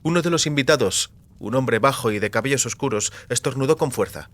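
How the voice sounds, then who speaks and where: A man's voice: mid-range voice, brisk, a bit bright. A man reading scripted narration for a fantasy audiobook.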